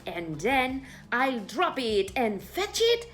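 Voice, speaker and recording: A woman's voice: fairly high pitch, sing-song, slow, a bit bright, faint music behind, exaggerated voice. A woman voicing an eager, playful dog in a children's storybook, speaking with gleeful emphasis. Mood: eager delight. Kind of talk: children's storybook read-aloud.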